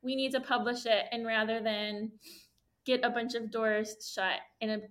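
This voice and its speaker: Chatty higher-pitched woman interviewer. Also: flat delivery, moderate pace, a bit bright. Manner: chatty, restarts sentences. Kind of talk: casual interview chat.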